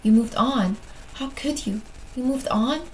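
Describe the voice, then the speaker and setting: A woman's voice: a little high, unhurried, far from the mic, noisy background, close to an everyday voice. A woman voicing a wounded, jealous ghostly lover in a horror game, bitter and accusing, repeating reproaches. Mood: bitter accusation.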